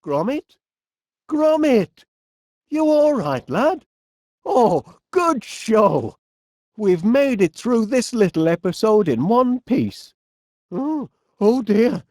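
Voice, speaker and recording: A man voicing a genial, polite, eccentric middle-aged inventor with a Northern English accent in an animated comedy game. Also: very high voice, dry recording, studio quality, exaggerated voice. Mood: excited, alarmed. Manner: polite, quaint British turns of phrase, drawn-out words. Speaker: video game voice actor.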